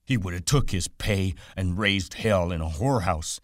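A man voicing a sharp-tongued old aunt who nags and scolds in coarse country talk. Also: low-pitched, normal speed, no room sound, clean studio sound, pitched above the performer's own voice, lightly acted. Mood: scolding.

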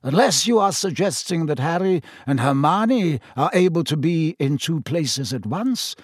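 A serene old male headmaster, voiced by a storybook narrator, quiet and measured even amid the shouting. His voice sits slightly above the narrator's. The recording is dry and close. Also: a little high, breathy edge, no echo, voice pushed up. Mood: calm.

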